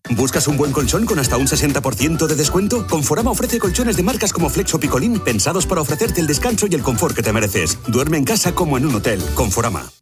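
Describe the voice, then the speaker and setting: A male announcer with a Castilian Spanish accent reads a radio advert with very animated intonation. His voice sits fairly high over clear music. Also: fast. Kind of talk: scripted radio advert narration.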